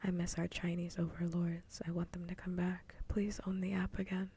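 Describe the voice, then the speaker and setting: A woman livestreaming casual ASMR chat in a soft, breathy whisper, rambling close to the microphone. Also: fairly low pitch, little pitch movement, moderate pace, slight background noise. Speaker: livestream host.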